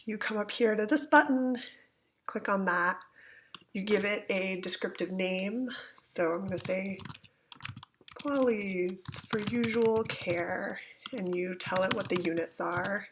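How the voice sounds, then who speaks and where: Gravelly-voiced woman with a cold, teaching. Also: mid-range voice, a bit bright. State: getting over a cold. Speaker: instructor giving a software tutorial. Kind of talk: informal step-by-step screencast walkthrough. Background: computer keyboard typing.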